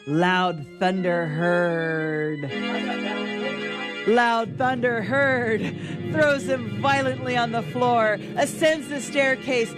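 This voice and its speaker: Very loud music plays under a man narrating a radio drama. He reads the stage directions at a slow, deliberate pace in a scripted, theatrical style. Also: very high-pitched, fairly even intonation.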